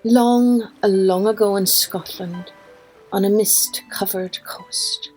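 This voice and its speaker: A woman narrating a children's folk tale in a deliberate, expressive storyteller's manner. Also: medium pitch, slightly rough, soft background music, low-quality recording. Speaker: storyteller, podcast host.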